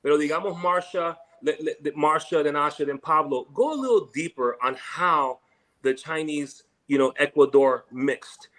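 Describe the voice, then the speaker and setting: A man's voice: a little high, moderate pace, a bit bright, rough recording. Male panel host, casual and rambling, slangy energy. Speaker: panel moderator. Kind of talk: casual panel moderation, mixing in Spanish.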